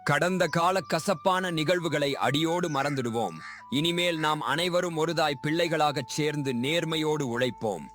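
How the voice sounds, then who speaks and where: A man's voice: on the high side, moderate pace, fairly bright tone, faint music behind, lightly acted. A man playing an honest, humble, forgiving orphan grown up, in a dramatised moral story.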